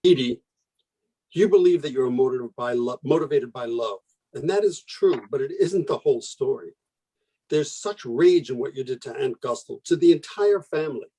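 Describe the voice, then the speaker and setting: A man playing an anguished, reproachful relative in a radio play, husky and argumentative, pleading intensely. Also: medium pitch, animated, moderate pace, mellow, poor audio. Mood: exasperated.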